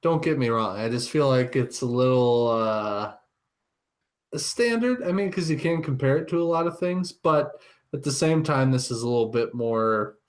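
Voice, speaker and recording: A man's voice: mid-range voice, lively intonation, moderate pace. A man co-hosting a podcast in casual chat, picking his words with some hesitation. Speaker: podcast co-host.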